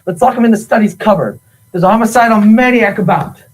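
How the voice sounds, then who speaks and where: A husky-voiced, fussy butler acted out by a woman in a farcical whodunit. He is melodramatic and easily flustered, delivering his lines in a broad stage-comedy style. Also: mid-range voice, fast, darkish tone, lightly acted, low-quality recording. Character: flustered, evasive male butler in a farcical murder mystery. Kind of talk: theatrical comic mystery acting.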